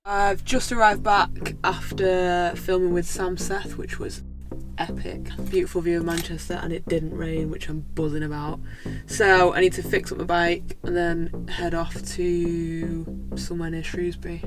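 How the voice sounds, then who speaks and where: A woman's voice: lowish voice, level tone, unhurried, music in the background. A woman vlogging casually to camera on a cycling trip, speaking in informal, upbeat short phrases. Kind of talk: casual travel vlog to camera.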